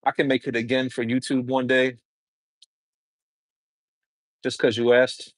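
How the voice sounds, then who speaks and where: Casual New guy, home cook on a live stream, chatty and relaxed, mid-pitched voice, dry close sound. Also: measured pace, no echo. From New York City, Brooklyn. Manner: easygoing, chatty, answers viewer comments while cooking. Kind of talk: casual livestream cooking chat.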